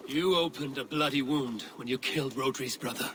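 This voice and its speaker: An actor playing a level-headed, commanding Viking war leader in a video game, measured and terse. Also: male voice, medium pitch, background noise, natural voice. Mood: curious, admiring.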